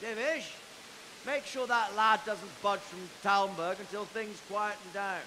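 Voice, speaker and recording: Stern, commanding medieval nobleman for a game cutscene; high, bright voice in reverberant, hissy surroundings. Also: a little slow. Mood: grave, cordial. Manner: commanding, formal. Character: stern, commanding medieval nobleman, brusque but courteous to allies. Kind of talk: video game cutscene acting.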